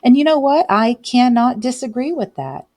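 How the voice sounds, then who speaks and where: A woman's voice: sits high, animated, normal speed, mellow. A woman hosting a casual commentary show, reading news aloud and adding chatty tag questions, with light laughs between remarks. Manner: chatty, adds tag questions like "right?" and "okay?".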